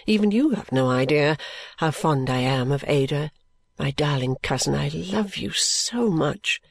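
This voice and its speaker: A woman voicing a careless, easygoing and affectionate young gentleman in an audiobook, with a breezy, musing manner. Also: low voice, expressive, a little slow, creaky, dry recording, pitched below the performer's own voice, exaggerated voice. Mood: earnest, vexed. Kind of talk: audiobook character dialogue.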